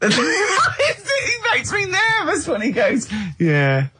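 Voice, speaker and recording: A man co-hosting a radio show in casual comic banter, excitable and giggly. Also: extremely high pitch, drawn-out words, background noise.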